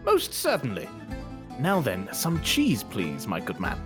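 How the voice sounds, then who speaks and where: A man playing a pompous, wordy gentleman customer, affectedly polite and florid, in a comedy sketch. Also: mid-range voice, wildly swooping pitch, measured pace, faint music behind. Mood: politely insistent. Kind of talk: British sketch comedy reenactment.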